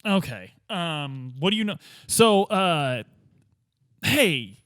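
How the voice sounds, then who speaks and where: A man with a fairly high voice improvises as a player in a tabletop game. His pitch moves around a lot, and he is casual, questioning and jokey. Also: sing-song, takes it slowly, fairly bright tone, no room sound, studio quality. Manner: hesitant, questioning, joking. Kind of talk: casual improvised role-play chat.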